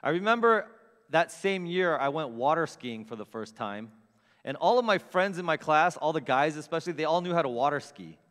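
Guy preaching, kinda high voice, gets loud telling stories on himself, big echoey church. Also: normal speed. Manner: animated, self-mocking storyteller with big swings in loudness. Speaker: pastor. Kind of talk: storytelling sermon. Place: church sanctuary with long reverb.

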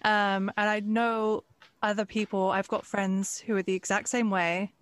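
A woman hosting an interview, speaking in a relaxed, candid and slightly hesitant way. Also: medium pitch, a little slow, smooth. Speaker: interviewer, host. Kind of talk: conversational interview.

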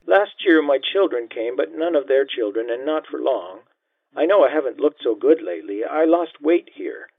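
A man's voice: on the high side, lively intonation, moderate pace, vocal fry, no room sound, narrow, tinny audio, pitched above the performer's own voice. A man voicing a lonely elderly woman in a nursing home, her voice quavering and tearful. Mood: sad, tearful.

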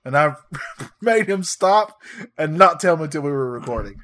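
A man's voice: a little high, expressive, normal speed, fairly bright tone. A man with a Southern US accent co-hosting a podcast, chatting in a playful, teasing way and laughing a lot. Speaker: podcast co-host. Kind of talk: casual chat.

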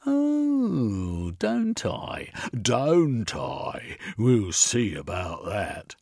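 A man's voice: medium pitch, huge pitch swings, very slow, vocal fry, no room sound, studio quality, voice pushed up, full character voice. A man playing a sneering, blustering police inspector. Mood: threatening. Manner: sneering, mocking, shouting.

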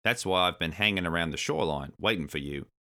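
Man voicing a bold, bright heroic swordfish. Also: deep voice, expressive, fast, exaggerated voice. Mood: confident. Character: heroic friendly male swordfish, bold, confident helper.

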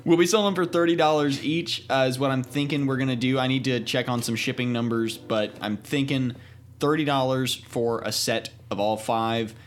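A young man co-hosting a podcast, giving announcements in a plain, steady way with frequent hesitant fillers. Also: mid-range voice, normal speed, soft background music. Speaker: podcast co-host. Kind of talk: casual chat with announcements.

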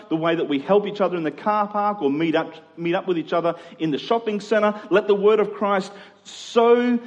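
A man preaching a sermon, reading scripture and urging listeners in a conversational way with repeated phrases. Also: fairly high pitch. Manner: conversational, exhortative, rhetorical repetition.

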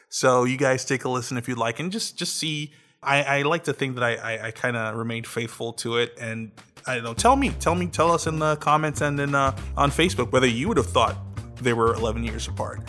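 A man's voice: mid-range voice, pushed and bright. A man co-hosting a podcast in a casual, playful chat, joking and plugging his own creative work. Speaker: podcast co-host.

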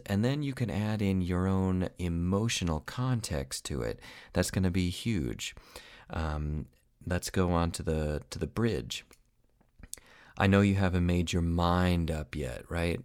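A man who is an opera singer and voice teacher, giving a casual podcast lesson, relaxed and rambling with many fillers. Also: low-pitched, clean tone, no room sound, clean studio sound.

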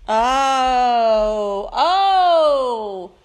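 A woman's voice: fairly high pitch, animated, very slow. Easygoing woman podcast co-host. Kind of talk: casual podcast chat.

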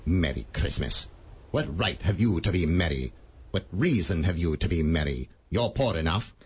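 A miserly old moneylender snaps in a husky, low voice. His pitch swings wide with scorn, and every word comes out clipped. A radio play with a cold, grumbling edge. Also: expressive, normal speed, heavily acted. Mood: scornful. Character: miserly bitter old male moneylender Scrooge.

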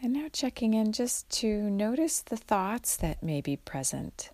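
A woman guiding a mindfulness meditation in a gentle, unhurried way, leaving long pauses between instructions. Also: a little high, big pitch swings, no room sound. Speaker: guided meditation leader.

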